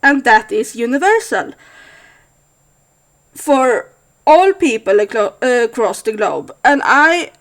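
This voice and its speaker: A woman's voice: a little high, animated, slow pace, long pauses. A woman in her thirties from Sweden with a Swedish accent, speaking thoughtfully to camera with frequent hesitations. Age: 30s. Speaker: vlogger. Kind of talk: casual monologue to camera.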